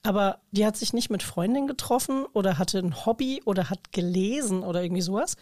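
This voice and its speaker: A woman's voice: mid-range voice, fast talker, a little mellow, no room sound, clean studio sound. A woman chatting casually in a talkative, informal, colloquial way. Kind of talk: casual conversational chat.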